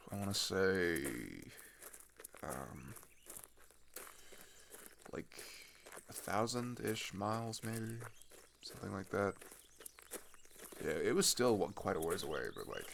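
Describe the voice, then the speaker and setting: A man's voice: low voice, gravelly, slight background noise, exaggerated voice. A man playing an earnest, disillusioned teenage traveler in an ASMR roleplay, talking hesitantly and conversationally, often trailing off. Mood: casual, hesitant. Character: chatty, earnest, disillusioned male teenager on a journey. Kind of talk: ASMR roleplay, naturalistic improvised-sounding monologue.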